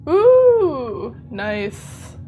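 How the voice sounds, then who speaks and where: A slightly hoarse woman chatting casually to her viewers while she plays a game, rambling and laughing as she goes. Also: high-pitched, huge pitch swings, very slow, dark tone. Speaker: streamer. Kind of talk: casual live-stream chat while gaming. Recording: game music playing behind.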